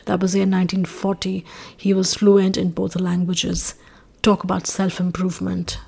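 A woman's voice: lowish voice, a little mellow. A woman with a Punjabi accent, hosting a podcast and narrating from notes in an earnest, informative way, with light asides. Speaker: podcast host.

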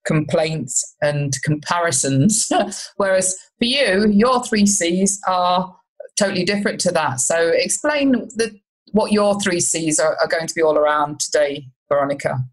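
A woman with a slightly low voice hosts a relationship podcast. She chats in a friendly, conversational way and sometimes restarts her sentences as she goes. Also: animated, measured pace, rough recording. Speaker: podcast host, relationship coach. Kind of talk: casual interview chat.

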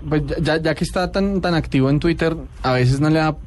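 A man co-hosting a casual radio interview, phrasing his questions in a halting, conversational way. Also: fairly high pitch, darkish tone, a little hiss or hum. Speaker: radio co-host.

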